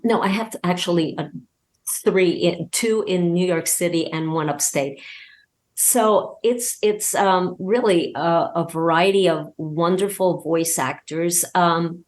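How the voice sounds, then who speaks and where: A woman talking casually as an interview guest, hesitant and wandering as she searches for words. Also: fairly low pitch. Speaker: podcast guest and voice actor.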